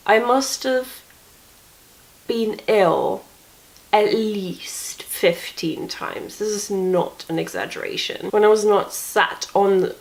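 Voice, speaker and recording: A woman's voice: medium pitch, takes it slowly, creaky, rough recording. A young woman telling stories from bed in a chatty, wry, self-mocking way, sniffly and coughing from a cold. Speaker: vlogger. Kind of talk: casual storytelling vlog from bed.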